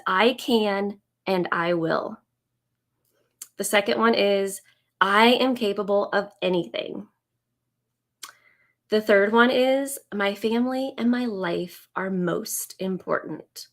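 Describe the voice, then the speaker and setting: A woman in her thirties, raspy from a summer cold, talking slowly and warmly to viewers on a live stream as a business coach, with many ums. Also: mid-range voice. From Indiana, US. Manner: slow, friendly, many ums.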